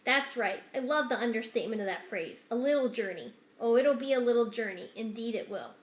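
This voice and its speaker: A woman's voice: on the high side, lively intonation, fairly quick, lightly acted. A scheming storybook rat, acted out by a woman, speaks in a roomy echo. He is smug and condescending, wrapping his impatience in fussy, formal politeness.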